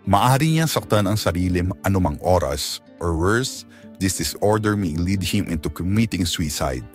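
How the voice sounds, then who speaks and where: A man playing a calm physician in a Filipino audio drama, explaining gravely in a clinical, measured way over music. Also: low voice. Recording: music bed under the voice.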